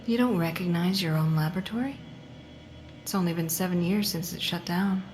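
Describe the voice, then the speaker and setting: An actress playing a vengeful, coldly controlled female patient in a psychological horror audio drama, mocking and deliberate, often dropping into breathy murmurs. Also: fairly low pitch, darkish tone, slight background noise, lightly acted. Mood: mock soothing.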